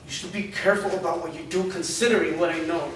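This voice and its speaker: A man's voice: a little high, steady tone, fast, close to an everyday voice. A man reading the part of a blunt, defiant teenage boy who swears freely. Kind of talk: staged reading. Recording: roomy echo, far from mic, noise.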